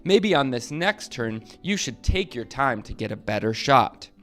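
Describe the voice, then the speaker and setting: A man's voice: mid-range voice, big pitch swings, a little slow, a bit bright, soft background music. A man voicing a friendly armored superhero leading his team in a children's storybook, upbeat and encouraging. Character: friendly adult male armored hero, team leader.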